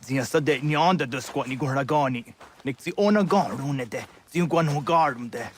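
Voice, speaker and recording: A man's voice: mid-range voice, fairly quick, a little hiss or hum, lightly acted. An actor playing an earnest young man in a dramatic video game scene.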